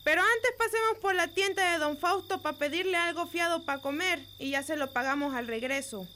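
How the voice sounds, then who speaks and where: A woman's voice: very high voice, moderate pace, pushed and bright, slight background noise. A woman acting a tired, humble Mexican peasant wife in an amateur radio drama, with rustic folksy speech. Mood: weary, resigned.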